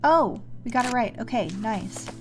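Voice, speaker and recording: A woman giving casual live game commentary, musing and halting as she thinks aloud and corrects herself. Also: on the high side, expressive, music in the background. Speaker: game streamer playing a puzzle adventure.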